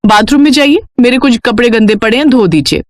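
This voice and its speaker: A woman's voice: on the high side, brisk, no room sound, studio quality, voice pushed up, natural voice. A woman voicing a vain, bossy young bride in a moral storybook tale, haughty as she orders her elders around. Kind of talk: Hindi moral storybook character voice.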